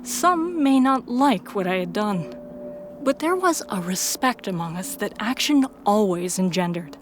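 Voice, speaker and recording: A woman's voice: medium pitch, expressive, a little slow, slight background noise. A woman reading scripted first-person story narration as the narrator and protagonist of an audio drama.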